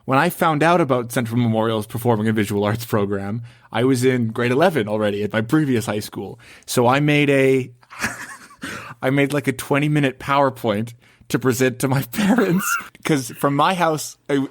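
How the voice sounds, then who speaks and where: A man's voice: medium pitch, normal speed, no room sound. Casting note: young male podcast host, chatty and joking, dry close sound. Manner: chatty, laughs often, jokey asides. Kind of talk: casual chat.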